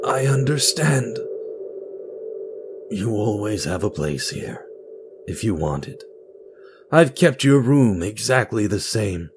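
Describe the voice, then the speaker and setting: Man playing a lonely, pleading widowed father, lively pitch. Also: takes it slowly, long pauses, music in the background, full character voice. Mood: resigned, sad.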